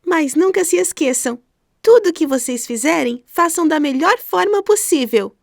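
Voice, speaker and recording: A woman voicing a loving mother pig in a children's cartoon, motherly and earnest with a creaky edge. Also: high-pitched, expressive, moderate pace, no room sound, studio quality. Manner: solemn, motherly. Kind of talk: children's cartoon character acting.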